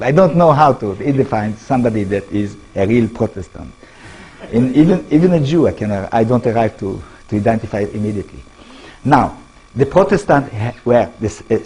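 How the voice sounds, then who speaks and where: A man with an Israeli accent lectures in English, his second language, with a very husky, breathy voice. His pitch swings widely as he throws rhetorical questions at the crowd. His phrasing is halting but earnest. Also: darkish tone, low-quality recording. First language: Hebrew. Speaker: author giving a talk. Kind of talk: public lecture.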